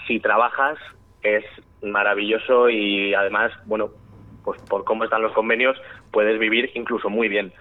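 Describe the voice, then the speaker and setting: A man's voice: on the low side, dry recording. Young man on a tinny phone line. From Spain, raised in Valladolid. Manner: chatty, friendly, many fillers and restarts. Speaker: actor, interview guest. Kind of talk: casual phone interview.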